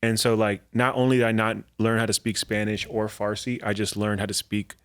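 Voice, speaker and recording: A man's voice: lowish voice, flat delivery, fairly quick, a bit bright, clean tone. Rambling man telling stories on a podcast. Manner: rambling, loose storytelling with many fillers. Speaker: guest comedian. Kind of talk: casual podcast chat.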